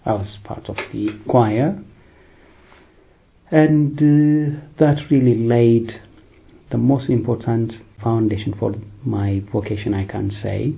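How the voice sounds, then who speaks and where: A priest, telling his vocation story in a hesitant, unhurried way with many fillers. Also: medium pitch, fairly even intonation, creaky, phone-quality sound. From Eritrea, raised in Ethiopia. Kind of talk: personal vocation testimony.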